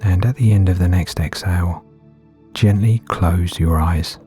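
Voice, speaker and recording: A man gently guiding a meditation in a soothing, unhurried way with long pauses between phrases. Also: low-pitched. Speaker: meditation guide. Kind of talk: guided meditation narration. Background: background music.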